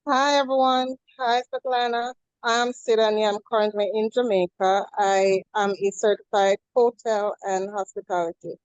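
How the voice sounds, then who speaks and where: A woman's voice: mid-range voice, steady tone, normal speed, clean tone, no room sound, lo-fi sound. Woman, friendly, self-correcting, video call, dry. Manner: friendly, restarts her sentences. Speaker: event attendee, job seeker.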